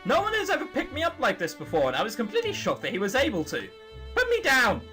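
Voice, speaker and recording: Man reading as a flustered young troll girl, animated. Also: very high voice, fast talker, soft background music, heavily acted. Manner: earnest, dramatic, fretful. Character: young female troll narrator, flustered, embarrassed, defensive storybook heroine. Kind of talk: visual novel narration read aloud.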